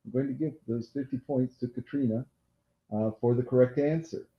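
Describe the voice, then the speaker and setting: A man hosting a casual live-stream quiz game who has a loud voice, repeats each question and apologizes during asides. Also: medium pitch, steady tone, moderate pace. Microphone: laptop mic. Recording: echoey room, dull lo-fi laptop audio.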